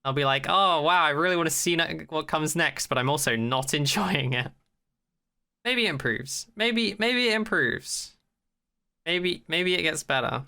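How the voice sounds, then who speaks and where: A man co-hosts a casual podcast about television in a clear, bright voice at a middling pitch. He is opinionated and critical, dryly sarcastic at times, and swears freely as he talks. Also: lively intonation, unhurried. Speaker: podcast co-host. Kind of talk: casual opinionated discussion of a TV show.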